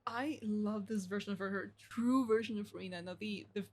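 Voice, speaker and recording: A woman's voice: medium pitch, a little fast. A young adult woman streaming a game, commenting casually with slang and swearing.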